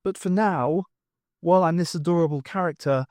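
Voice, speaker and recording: A man's voice: a little high, brisk, a little mellow, dry recording, studio quality, voice pushed up, close to an everyday voice. A man voicing an adorable, lovestruck little dwarf in an audiobook, earnest and gushing. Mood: earnest, pleading.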